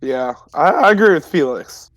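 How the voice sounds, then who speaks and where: A man's voice: a little high, expressive, moderate pace, fairly bright tone, a little hiss or hum. A man voicing a cautious, suspicious teenage adventurer in a tabletop game, plain-spoken and insistent.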